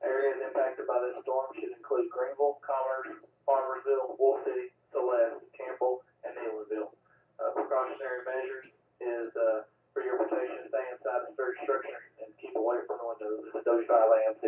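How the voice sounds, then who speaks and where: A man runs an amateur radio weather net, reading warnings in a flat, even, procedural voice. His voice comes through a tinny radio channel, played back in an echoey room at a distance. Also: medium pitch, brisk. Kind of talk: radio net reports and check-ins. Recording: tinny radio transmission heard from afar, echoey.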